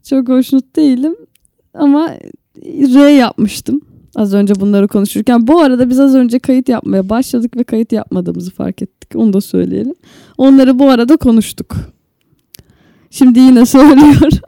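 A woman with a fairly high yet soft, mellow voice. She banters casually as a podcast co-host, playfully grumbling, in a very dry close sound. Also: normal speed, creaky, dry recording.

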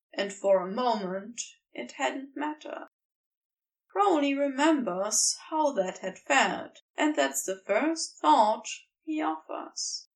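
A woman narrates romantic fiction in a tender, storytelling way. Her pitch sits a little high and moves with lively swings, and she takes her time over each phrase. The recording places her slightly away from the microphone. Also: vocal fry, far from the mic, low-quality recording. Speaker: amateur fan fiction narrator.

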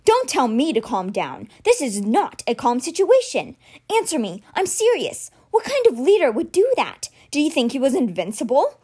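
A woman's voice: high-pitched, huge pitch swings, moderate pace, dark tone, voice pushed up. Woman doing an angry, desperate teenage boy.

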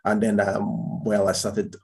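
A man with a low, gravelly voice speaks as an interview guest. His talk is halting, full of restarts and doubled words. He was born in Spain and raised in Portugal. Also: little pitch movement. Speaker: guest entrepreneur. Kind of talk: casual interview chat.

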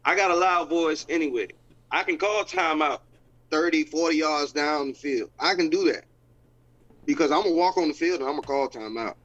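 A man, head coach and owner of a football team, talking casually and excitedly, repeating himself as he goes. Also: a little high, brisk. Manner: excited, repetitive, informal phrasing. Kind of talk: casual chat. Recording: dull lo-fi sound.

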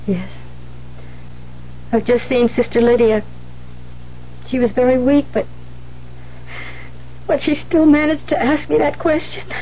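An actress playing an earnest, hesitant young nun in a 1970s radio drama, speaking in a halting, unsure way. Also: female voice, high-pitched, fairly even intonation. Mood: sad, resigned. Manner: halting, unsure, repeats words. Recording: muffled, hissy 1970s radio recording with hum.

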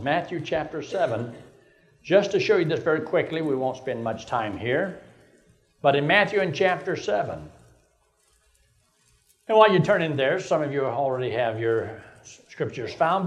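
High-voiced older preacher in his seventies. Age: 70s. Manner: preaching with rising emphasis, rhetorical questions. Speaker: pastor. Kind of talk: sermon.

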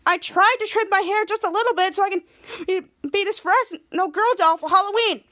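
A woman's voice: extremely high pitch, fairly quick, bright, forceful tone, pitched above the performer's own voice. A woman voicing a vain, dramatic little sister with a whiny delivery. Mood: sulky. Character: dramatic, vain little sister upset about her hair.

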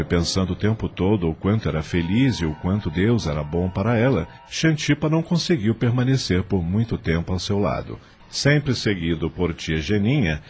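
Very deep, expressive male narrator. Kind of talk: scripted radio drama narration.

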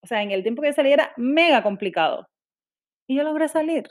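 A woman's voice: high voice, animated, quick pace. A young woman from Cuba with a Cuban accent, speaking candidly and self-critically in a personal monologue, with frequent restarts. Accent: Cuban Spanish.

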